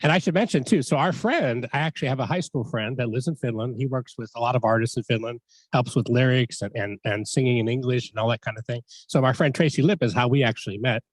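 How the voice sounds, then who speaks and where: A middle-aged man hosts an interview from a studio, speaking fast and eagerly into a close microphone. The sound is dry with no room echo, and he trips over his words as he rushes ahead. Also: mid-range voice. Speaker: podcast host. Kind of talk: casual interview. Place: radio studio, indoors.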